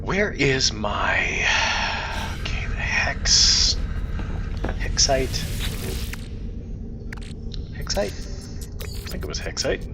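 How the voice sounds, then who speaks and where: A man's voice: extremely slow, vocal fry, pauses often, whispered, over music. A man giving casual, rambling gameplay commentary, often muttering to himself as he thinks aloud. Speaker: gaming video host. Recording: loud steady background noise, rumbling hum.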